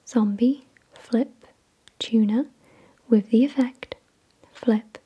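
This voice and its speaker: A woman whispering in a slow, deliberate, soothing way, reading aloud in ASMR style with a breathy, unvoiced delivery. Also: a little high, warm tone. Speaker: ASMR video host.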